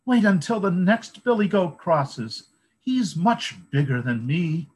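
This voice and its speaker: A man voicing the littlest billy goat in a storybook reading, squeaking and pleading in a small, high voice. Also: expressive, takes it slowly, darkish tone, breathy edge, full character voice. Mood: squeaky pleading. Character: tiny timid young billy goat.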